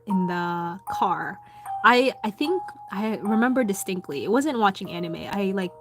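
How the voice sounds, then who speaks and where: A young woman with a Filipino accent chatting casually with her livestream viewers while drawing, soft-spoken with lots of ums. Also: medium pitch. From Philippines. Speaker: livestream host. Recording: background music. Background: music.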